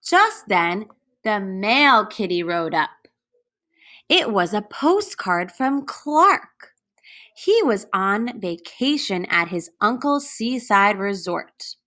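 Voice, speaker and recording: A woman reading a storybook aloud as a teacher to children, speaking deliberately and pausing to put questions to her young listeners. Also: medium pitch, sing-song, bright, forceful tone. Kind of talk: read-aloud storybook narration.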